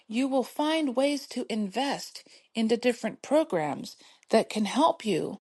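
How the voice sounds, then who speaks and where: Woman, middle-aged, podcast host, soothing, encouraging, laughs often, a bit high-pitched. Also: darkish tone. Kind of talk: motivational solo podcast talk.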